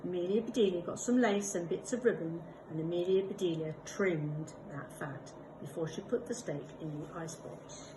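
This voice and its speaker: A woman, a primary school teacher, reading a picture book aloud with expressive storytelling and little asides. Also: lowish voice, normal speed, few pauses, slight background noise, poor audio.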